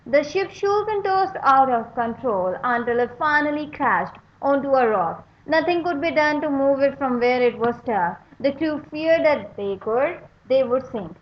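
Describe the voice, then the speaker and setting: A woman reading a children's book aloud, in a deliberate, explanatory manner for young readers. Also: high-pitched, animated. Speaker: read-aloud storyteller, channel host. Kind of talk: children's book read-aloud with asides on pictures. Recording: dull lo-fi sound, faint background noise.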